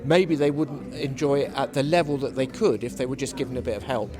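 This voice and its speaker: A man giving conversational, explanatory interview answers in a measured way, as a panellist. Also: mid-range voice, steady tone, music in the background. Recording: busy venue, people chattering behind. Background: crowd chatter.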